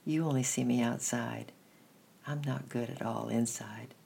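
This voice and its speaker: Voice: mid-range voice, fairly even intonation, measured pace, creaky, a little hiss or hum. A reader doing a sad, serious young boy. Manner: quiet, sad.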